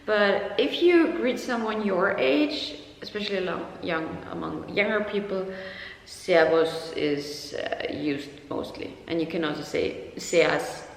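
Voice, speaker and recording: A young woman from Upper Austria, with an Austrian accent, casually explaining everyday greetings in a relaxed video lesson, laughing lightly at her own jokes. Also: lowish voice, a little slow, vocal fry. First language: German. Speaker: language teacher on video. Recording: echoey room with long reverb.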